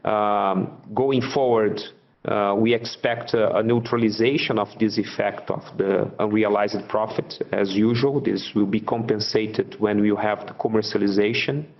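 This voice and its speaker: Lowish-voiced man on a dull call line. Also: steady tone, a little slow. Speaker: finance executive. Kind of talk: explaining financial results on an earnings call. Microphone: conference call line. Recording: muffled conference call audio.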